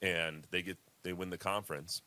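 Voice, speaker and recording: A man's voice: low-pitched, steady tone, moderate pace, sharp tone. A man in his twenties co-hosting a casual sports podcast, rambling and laughing often. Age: 20s. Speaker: podcast co-host.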